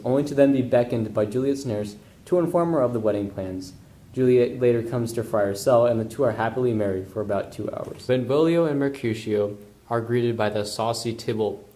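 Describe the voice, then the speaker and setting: Mellow male storyteller in echoey room. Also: medium pitch. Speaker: narrator. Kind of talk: comic scripted storybook narration with slang.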